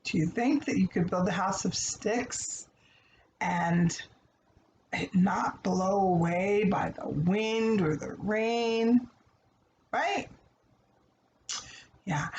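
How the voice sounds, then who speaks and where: A woman's voice: medium pitch, a little mellow. A woman giving a conversational children's story lesson in a didactic way, putting questions to listeners and answering them herself. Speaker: storybook read-aloud host and teacher. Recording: echoey room with faint background noise.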